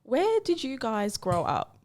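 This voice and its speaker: A woman's voice: on the high side, wildly swooping pitch, unhurried, darkish tone. A woman hosting an interview, asking short questions and giving brief encouraging replies. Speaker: interview host. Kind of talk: casual interview chat.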